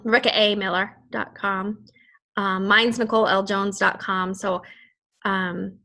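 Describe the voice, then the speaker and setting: A woman's voice: mid-range voice, pushed and bright. A woman with a Boston accent leading a casual team call, chatty and rambling, laughing often. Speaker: fitness team leader.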